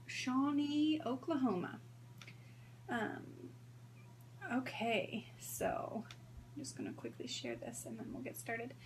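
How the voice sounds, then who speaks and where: A woman in her thirties hosting a sewing livestream in a hoarse voice, chatting casually and greeting viewers by name. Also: on the high side, wildly swooping pitch, slow, long pauses, a little hiss or hum. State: hoarse from strep throat.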